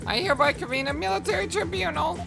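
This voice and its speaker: A woman's voice: very high-pitched, quick pace, gravelly, pitched above the performer's own voice. A woman voicing a jumpy young male soldier with wild emotions, barking orders dramatically, her nose stuffy. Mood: bossy. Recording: game music behind the voice.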